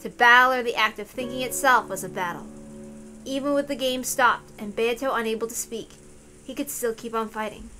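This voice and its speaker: A woman's voice: on the high side, normal speed, bright, forceful tone, soft background music. A woman reading a visual novel aloud as a narrator, in a storytelling style. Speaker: reader of a visual novel for an audience.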